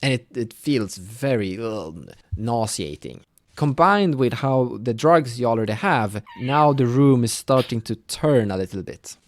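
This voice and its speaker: A man's voice: medium pitch, lively intonation, unhurried, no echo. Man improvising as fantasy game master.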